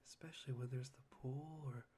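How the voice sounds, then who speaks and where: A performer playing a polite but unsettling young male student, breathy and softly teasing, in an ASMR roleplay. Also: mid-range voice, steady tone, fairly quick, slight background noise, close to an everyday voice. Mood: sly, teasing. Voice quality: breathy, husky.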